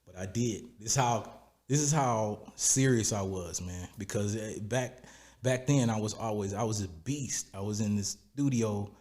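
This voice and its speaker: A raspy-voiced man, a music producer and singer, telling stories in a relaxed, rambling way in a podcast interview. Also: on the low side, unhurried. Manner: relaxed, rambling, repeats "you know what I'm saying". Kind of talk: casual interview storytelling.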